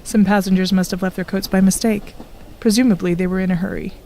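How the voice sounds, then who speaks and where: An actress as a composed female medical professional in an audio drama. She speaks at a middle pitch, measured and courteous, with quiet firmness. There is light ambient noise around the voice. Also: steady tone, close to an everyday voice. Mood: mildly reproving. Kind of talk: audio drama acting with reflective narration.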